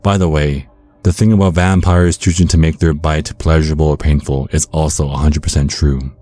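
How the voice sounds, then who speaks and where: Man playing a very deep-voiced vampire storyteller. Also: steady tone, normal speed, dark tone, no room sound. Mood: ominous. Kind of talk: first-person horror storytelling, ASMR.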